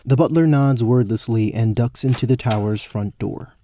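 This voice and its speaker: A man's voice: mid-range voice, moderate pace, mellow, slight background noise. A man giving scripted narration for a fantasy tabletop-style audio story. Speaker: narrator, game master.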